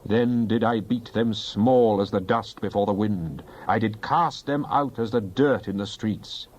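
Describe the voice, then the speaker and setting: A man's voice: lowish voice, unhurried, darkish tone. A man giving a dramatized Bible reading in a solemn, declamatory manner. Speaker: scripture reader.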